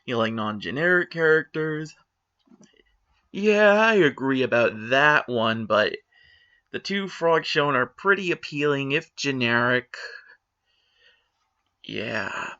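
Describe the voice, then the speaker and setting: A mid-pitched man reads an online post aloud and argues with it. He stays matter-of-fact and critical, slipping in sarcastic asides. The audio is muffled and lo-fi. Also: animated, slow, long pauses, sharp tone. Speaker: commentator. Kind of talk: opinionated commentary on a blog post.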